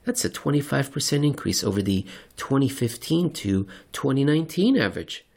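Guy narrating like a dramatic story, wry and deliberate, lively pitch, some vocal fry. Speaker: narrator and reader. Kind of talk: theatrical scripted narration of market report and story.

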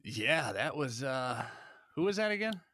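Man, laughing podcast co-host, animated swings in pitch. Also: measured pace, no room sound. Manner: laughs often, animated, bright. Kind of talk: casual chat.

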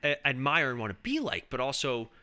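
A man's voice: mid-range voice, big pitch swings, brisk, pushed and bright. A man co-hosting a casual film podcast, talking enthusiastically and rambling with restarts. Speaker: podcast co-host.

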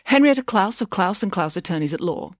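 A woman's voice: medium pitch, animated, a little fast, no room sound, clean studio sound, pitched above the performer's own voice, close to an everyday voice. A woman voicing an authoritative, formidable female lawyer in a storybook, formal and assertive. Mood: commanding.

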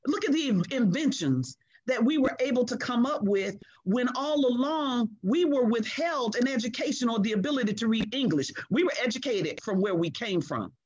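Earnest, measured middle-aged woman. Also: fairly high pitch, lively intonation, darkish tone, breathy edge, no echo, lo-fi sound. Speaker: guest. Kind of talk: reflective conversation.

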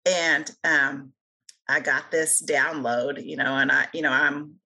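Middle-aged woman, guest author. Low, husky, bright tone, earnest and rambling. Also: steady tone, slow pace, rough recording. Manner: earnest, rambling, many fillers. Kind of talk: casual interview conversation.

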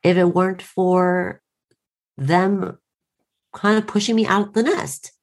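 A woman's voice: lowish voice, fairly even intonation, takes it slowly. A woman in her late thirties with an American accent, telling a wry, self-deprecating story in casual conversation. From United States. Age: late 30s.

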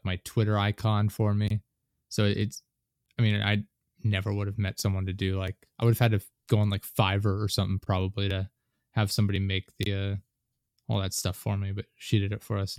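A man's voice: on the low side, darkish tone, clear, resonant tone, dry recording, studio quality. A man in his late twenties hosting a casual podcast chat, rambling with many fillers and restarts. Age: 20s. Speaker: podcast host.

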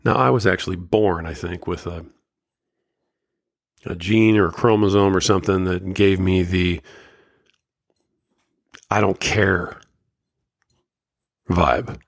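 A man's voice: low-pitched, steady tone, slow, creaky, pauses often, dry recording, clean studio sound. A man hosting a solo podcast, talking candidly and motivationally, his voice slightly scratchy from a summer cold. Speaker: podcast host.

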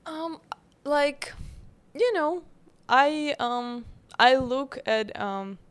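A woman in her twenties from Ukraine, with a Ukrainian accent, talking as a guest in a casual interview, halting and full of fillers. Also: sits high, expressive, clear, resonant tone. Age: 20s. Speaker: guest, bakery founder.

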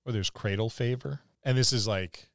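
Male podcast co-host, smooth and resonant, casual and joking, given to rambling; close, dry sound. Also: medium pitch, normal speed, no echo. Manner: rambling, jokey. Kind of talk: casual chat about tabletop roleplaying.

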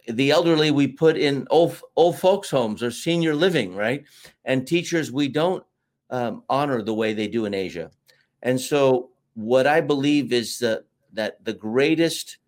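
A man's voice: medium pitch, darkish tone. Storytelling American man, middle-aged. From United States. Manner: storytelling, expansive, with fillers. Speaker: interview guest, marketing teacher. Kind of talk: casual interview talk.

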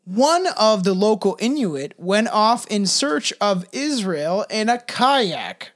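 Casual co-host, middle pitch, rambling profane storytelling with restarts. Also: big pitch swings, slow pace. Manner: rambling, with false starts and mocking put-on quotes. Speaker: podcast co-host telling a history story.

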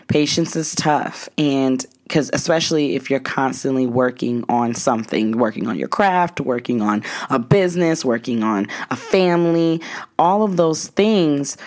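A woman's voice: a bass voice, darkish tone. A woman in her twenties giving a casual, reflective motivational monologue, earnest and emphatic, repeating phrases for stress. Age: 20s.

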